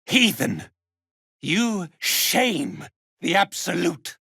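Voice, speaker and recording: Cruel drow cult commander for a fantasy game, super slow n theatrical, pitch swings around, imperious. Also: male voice, lively intonation, gravelly, pushed and bright, breathy edge, no room sound, studio quality, heavily acted. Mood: commanding fury. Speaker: video game villain. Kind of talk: dramatic fantasy game voice acting.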